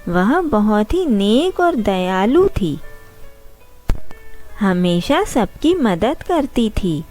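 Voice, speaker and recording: A woman's voice: mid-range voice, very animated, very slow, warm tone, faint music behind. A woman narrating a scripted story for children.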